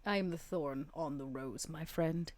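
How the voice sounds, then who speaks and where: Woman playing a poised, scheming vampire lady, lowish voice. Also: darkish tone. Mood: sly, proud. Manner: theatrical, deliberate, flowery. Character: poised, scheming female vampire power broker, florid and teasing. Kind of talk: tabletop roleplay in character.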